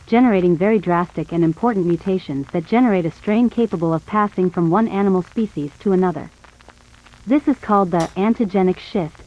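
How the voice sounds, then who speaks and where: A woman reading scripted educational narration in a measured, explanatory way. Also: fairly low pitch, muffled recording.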